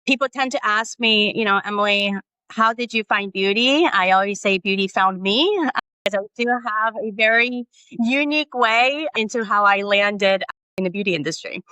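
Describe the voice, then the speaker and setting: A woman's voice: mid-range voice, moderate pace, bright, forceful tone, clean tone, dry recording, rough recording. A woman from Brazil, a guest in an interview, speaking earnestly and reflectively, often adding "right?" and "you know.". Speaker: guest, nonprofit founder. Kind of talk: conversational interview.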